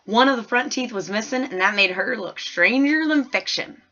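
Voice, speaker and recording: A woman's voice: a little high, expressive, normal speed, pushed and bright, highs cut off. A woman voicing a chatty, frank ten-year-old girl who narrates a storybook in folksy, colloquial, rambling style. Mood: chatty, earnest. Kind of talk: first-person storybook read-aloud in character.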